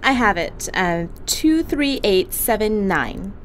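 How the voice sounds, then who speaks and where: A woman's voice: mid-range voice, very animated, slow, slight background noise. A woman playing a caring, wry spaceship medic in an audio drama, chatty, informal and reassuring. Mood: concerned. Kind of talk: naturalistic audio drama acting.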